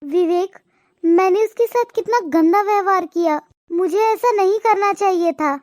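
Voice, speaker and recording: A woman doing a young housewife, extremely high squeaky voice, curt, cartoon story, dry sound. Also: moderate pace, darkish tone, no echo. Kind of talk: cartoon voice acting.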